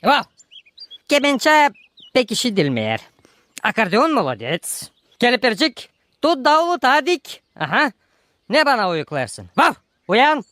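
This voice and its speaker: An actor voicing an excitable cartoon dog in a band, exclamatory and punctuating the talk with woofs. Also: mid-range voice, measured pace, pauses often, dry recording, heavily acted. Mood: excited, teasing. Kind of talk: animated cartoon voice acting.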